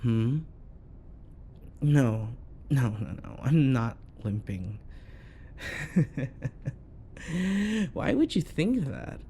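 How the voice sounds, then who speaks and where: A man acts out a possessive yandere boyfriend in an intimate ASMR roleplay. He speaks very slowly, with sighs and pauses and a frequent vocal fry, coaxing sweetly while jealousy and menace sit underneath. A faint rumble of noise runs behind the voice. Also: sing-song, mellow, exaggerated voice. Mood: saccharine, probing. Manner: coaxing, passive-aggressive, full of pet names, sighs and pauses.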